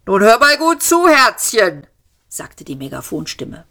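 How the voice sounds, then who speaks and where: A woman voicing a bossy male police officer shouting through a megaphone, loud and patronizing. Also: very deep voice, level tone, normal speed, creaky, slightly rough, pitched below the performer's own voice, exaggerated voice. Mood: commanding.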